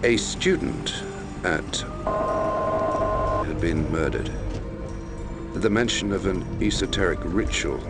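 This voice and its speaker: An actor playing an investigative journalist, narrating a murder case in a measured, slightly hesitant documentary style for a game. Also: male voice, deep voice, animated, full character voice. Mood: reflective, hesitant. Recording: music bed under the voice.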